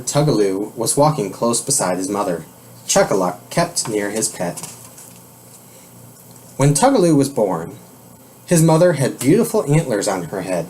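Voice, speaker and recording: Man reading a children's storybook, lively intonation. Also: mid-range voice, a little hiss or hum, rough recording. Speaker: storybook reader. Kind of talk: children's storybook read-aloud.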